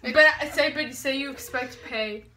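A giggly, excitable young woman chatting casually and coaching her friend through prank calls. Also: sits high, moderate pace. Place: small furnished bedroom indoors. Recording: noisy home camera recording.

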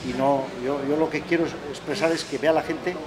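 A man's voice: a little high, fairly even intonation, a little fast, crowd noise, rough recording. A middle-aged man hosting an interview in a casual, conversational way, often ending sentences with tag questions. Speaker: interviewer, club host. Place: outdoor courtyard with artificial grass. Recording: outdoor recording with clear background noise.

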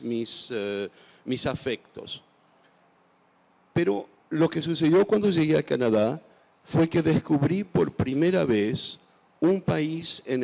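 Older guy from argentina, creaky voice, lots of uh and restarts, big echoey hall. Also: a little high, a little mellow. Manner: thoughtful, hesitant with many fillers and restarts. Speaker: author guest. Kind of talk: onstage interview answers. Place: large festival stage. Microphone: handheld microphone. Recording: long hall reverb.

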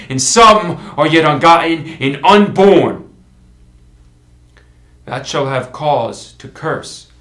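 A man performing a Shakespearean monologue as a proud, vengeful young king, declaiming deliberately with dramatic pauses. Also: mid-range voice, natural voice. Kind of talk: Shakespearean stage monologue in verse. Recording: echoey room.

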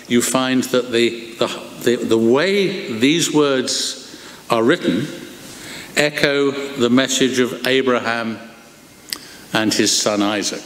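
An older man preaching a sermon from a lectern in a large church, expressive and slowing down to stress his points. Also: mid-range voice. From England. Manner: expressive, gestures broadly, slows for emphasis. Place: large wooden church, indoors. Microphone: lectern microphone. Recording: echoey church hall, long reverb.

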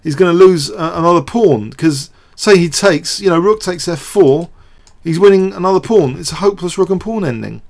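Male game commentator, slightly high voice, unscripted and hesitant, reasoning aloud as he analyses. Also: lively intonation, normal speed, few pauses, darkish tone. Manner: hesitant, thinking aloud with repeated words. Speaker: host of a chess show.

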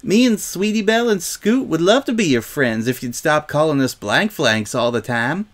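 A man voicing a warm, curious young filly with folksy country talk in a storybook reading. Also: fairly high pitch, expressive, moderate pace, pitched above the performer's own voice, close to an everyday voice. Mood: gentle, consoling.

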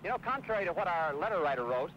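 A middle-aged man hosting a 1950s TV show, speaking in a brisk, upbeat announcer style outdoors by a harbor. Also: high voice, big pitch swings. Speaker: TV host. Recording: dull 1950s TV audio with outdoor noise.

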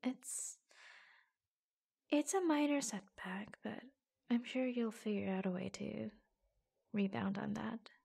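A woman playing an attentive, affectionate office assistant in a soft-spoken ASMR roleplay, breathy and hushed, with gentle hesitant pauses, close to the microphone. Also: a little high, fairly even intonation, full character voice. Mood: reassuring.

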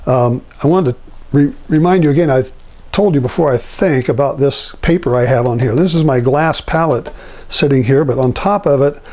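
An older man with a raspy, mellow voice walks through a painting step by step. He speaks in an unhurried, halting way, often pausing on fillers. He is recorded in an indoor studio, talking while he works. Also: mid-range voice. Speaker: painting instructor.

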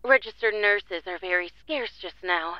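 A woman's voice: medium pitch, normal speed, no room sound, natural voice. A woman playing a formal, politely officious hospital receptionist in a 1940s radio drama. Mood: prim, officious. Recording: dull, band-limited sound.

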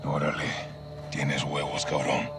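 A man's voice: extremely low pitch, monotone, a little slow, music in the background. An actor playing a proud, fiery Mexican special forces colonel in a video game, intense, with a Mexican accent and Spanish mixed into his English. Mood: guarded. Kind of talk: cinematic video game acting.

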